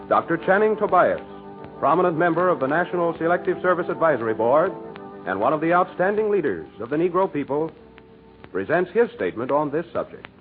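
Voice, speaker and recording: A tinny 1940s radio recording carries an American man's formal announcing. His voice sits a little high and moves with wide, declamatory swings of pitch. Every phrase is measured and carefully scripted.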